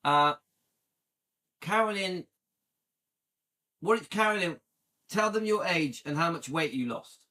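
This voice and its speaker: A man's voice: fairly high pitch, lively intonation, slow pace, pauses often, bright, forceful tone. A man in his late fifties from the UK, chatting casually and enthusiastically with viewers on a livestream and often checking in with "yeah?". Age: 50s. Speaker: livestream host.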